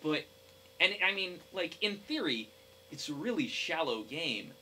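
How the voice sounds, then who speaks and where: A young man co-hosting a casual gaming discussion, speaking in a hesitant, halting way. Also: on the high side, big pitch swings, sharp tone, a little hiss or hum, poor audio. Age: young adult. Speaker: podcast co-host.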